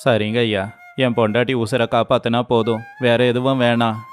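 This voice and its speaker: A man's voice: medium pitch, level tone, normal speed, soft background music, close to an everyday voice. A man playing a poor, humble village labourer and devoted husband in a moral story, with a pleading, deferential manner. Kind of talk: dramatized moral story voice acting.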